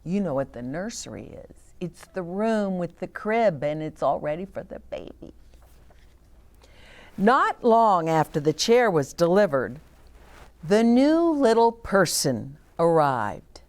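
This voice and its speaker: A woman's voice: fairly low pitch, big pitch swings, slow. A woman reading a children's storybook aloud as a story time host, with short explanatory asides to young listeners.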